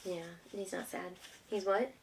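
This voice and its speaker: A woman with a mid-range voice reads a picture book aloud at an unhurried pace. She speaks simply, as a mother teaching a young child at home. She pauses to prompt with easy questions and encourages the answers patiently. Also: medium pitch, gravelly, noisy background. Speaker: homeschooling mother teaching her child to read.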